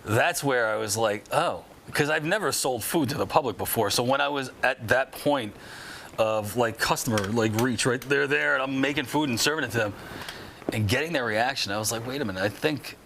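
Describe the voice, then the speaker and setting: A man sits in a studio as a chef guest, telling his story quickly and excitedly. He piles on fillers as he goes, over a faint room ambience. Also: medium pitch, creaky, a little hiss or hum. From New York. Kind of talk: casual interview chat.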